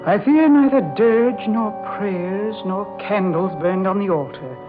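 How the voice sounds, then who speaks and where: An actress playing a stern, domineering older aunt in 1940s radio drama style, husky and imperious. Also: female voice, mid-range voice, unhurried, close to an everyday voice. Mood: grave, ominous. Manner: imperious, foreboding. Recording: dull vintage radio drama recording. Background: organ music.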